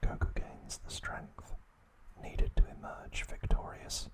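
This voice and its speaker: A man hosting ASMR, whispering in hushed, drawn-out short phrases with frequent pauses. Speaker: host of an ASMR channel. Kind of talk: ASMR, whispered trivia talk and reading aloud.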